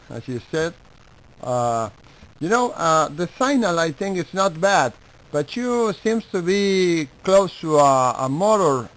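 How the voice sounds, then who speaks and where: A man with an Argentine Spanish accent chatting on ham radio in polite, halting non-native English. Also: fairly high pitch, a bit bright, poor audio. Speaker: amateur radio operator. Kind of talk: casual ham radio chat.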